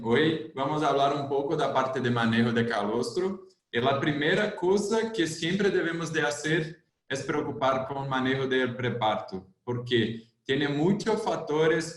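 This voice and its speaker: A man's voice: mid-range voice, reverberant. A man from Brazil speaking Spanish with a Brazilian Portuguese accent, explaining livestock topics in a webinar presentation. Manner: plain explanatory delivery with frequent "entonces".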